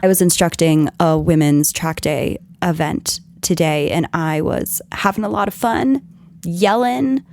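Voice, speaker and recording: A woman hosting a podcast, her voice scratchy and strained from yelling, explaining things in an earnest, scripted way. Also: on the low side, unhurried. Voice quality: scratchy, husky. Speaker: podcast host.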